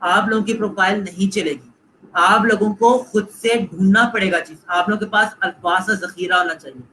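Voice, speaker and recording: A man's voice: high voice, fairly even intonation, normal speed, sharp tone, a little hiss or hum, poor audio. A man with a Pakistani Urdu accent giving a live online lecture, coaching students and repeating points while mixing in English phrases. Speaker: online course instructor.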